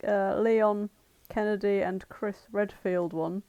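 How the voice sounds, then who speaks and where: An English woman with a medium-pitched voice talks as a podcast co-host. Her delivery is casual and comedic, recorded dry with very little echo. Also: fairly even intonation, slow, dark tone, muffled recording. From Birmingham, England.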